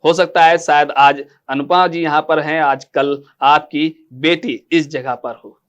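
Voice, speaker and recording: A man hosts a news interview in a voice pitched a little high for a male. His delivery is formal, in the style of a news presenter putting questions to a guest. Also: fairly even intonation, unhurried, fairly bright tone, clear, resonant tone, muffled recording.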